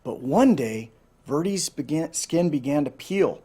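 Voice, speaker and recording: Male storyteller, somewhat high voice, informal read-aloud for children, speaking straight to listeners. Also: expressive, a little slow, dark tone, a little hiss or hum. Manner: informal, addressing listeners directly. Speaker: volunteer storyteller.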